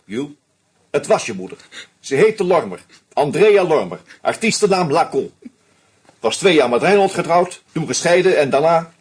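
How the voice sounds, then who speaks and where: An actor in a radio play voicing a shrewd, sardonic male investigator who lays out his case deliberately. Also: medium pitch, slightly rough. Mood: explaining. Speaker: detective confronting a killer. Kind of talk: radio drama acting.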